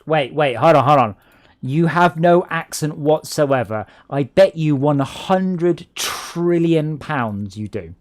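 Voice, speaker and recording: A man with a southern English accent chatting excitedly and jokily with friends while playing a game online. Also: fairly high pitch, takes it slowly, no echo. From England. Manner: chatty, excitable, jokey, tangents. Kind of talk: casual gaming chat.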